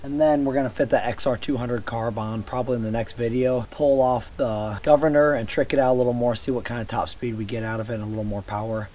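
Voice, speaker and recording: Hoarse man narrating a bike build. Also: mid-range voice, fast talker, few pauses. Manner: relaxed, rambling how-to narration. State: hoarse from a cold. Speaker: motorcycle builder. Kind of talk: casual workshop vlog. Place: cluttered garage workshop and driveway outdoors.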